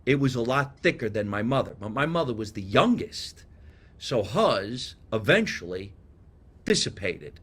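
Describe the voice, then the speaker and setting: Man, New Jersey accent, podcast host, casual live chat, rambling, animated, mid pitch. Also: a little slow, slightly rough. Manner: chatty, rambling, animated storytelling. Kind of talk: casual live chat, reading viewer comments.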